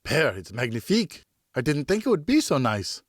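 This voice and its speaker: Man playing an eager, higher-voiced young boy. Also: wildly swooping pitch, moderate pace, no room sound, studio quality. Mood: awed. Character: eager, polite young son, full of wonder.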